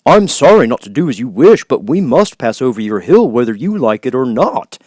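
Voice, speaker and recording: A man's voice: medium pitch, sing-song, normal speed, darkish tone, dry recording, studio quality, pitched above the performer's own voice. A man voicing a polite, determined living scarecrow from a fairy tale, speaking earnestly.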